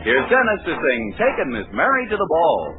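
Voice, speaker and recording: A man's voice: a little high, wildly swooping pitch, normal speed. A man with an American accent announcing a 1940s radio show and reading scripted sponsor copy. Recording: narrowband 1940s radio broadcast with music.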